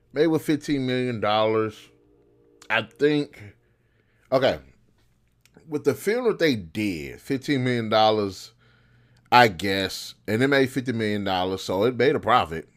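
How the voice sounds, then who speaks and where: A man's voice: mid-range voice, fairly bright tone. A man hosting a video, talking casually and bluntly with slangy, opinionated commentary on films. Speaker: video host.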